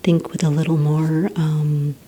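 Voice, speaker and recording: A woman whispering breathily in a soft-spoken ASMR roleplay as a polite, encouraging receptionist, asking questions in a gentle, hesitant, unhurried way. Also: low-pitched, flat delivery, breathy edge. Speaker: ASMR roleplay performer as a villain recruitment receptionist.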